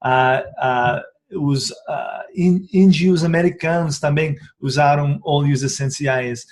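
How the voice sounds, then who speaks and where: A man's voice: a little high, slow pace, darkish tone. A man who is not a native speaker of the language gives a casual explanatory talk about essential oils, hesitating often and correcting himself. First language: not Portuguese. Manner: hesitant, frequent fillers and self-corrections.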